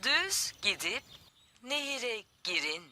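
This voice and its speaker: A woman playing a polite talking camper van that gives measured navigation announcements in a children's cartoon. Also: fairly high pitch, big pitch swings, very noisy. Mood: composed.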